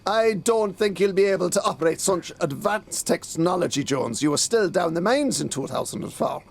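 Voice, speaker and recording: A man's voice: high voice, lively intonation, moderate pace, vocal fry, heavily acted. A man playing a pompous, bewildered middle-aged police detective inspector who is hopeless with technology, in a theatrical comic audio drama style. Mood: pompous, grave.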